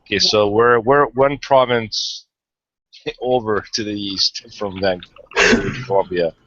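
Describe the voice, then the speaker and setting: A man's voice: fairly low pitch, steady tone, measured pace, fairly bright tone, no echo. A Hungarian-born man chatting casually in an online hangout, rambling and hesitant, swearing often. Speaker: guest caller. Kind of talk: casual chat. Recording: lo-fi online call audio.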